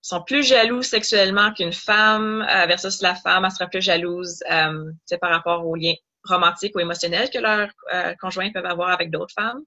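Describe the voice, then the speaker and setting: A woman's voice: lowish voice, steady tone, normal speed, hardly any pauses, pushed and bright, highs cut off. A young woman from Ottawa, a doctoral student guest in an interview, explaining at length with many fillers. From Ottawa, Canada.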